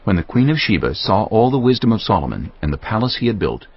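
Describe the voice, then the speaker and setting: Deep-voiced man narrating scripture with expressive range. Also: quick pace, breathy edge, lo-fi sound.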